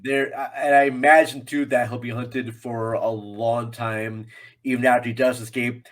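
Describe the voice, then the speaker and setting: Man chatting about film, halting delivery. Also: mid-range voice, little pitch movement. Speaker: podcast guest film reviewer. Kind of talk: casual film discussion.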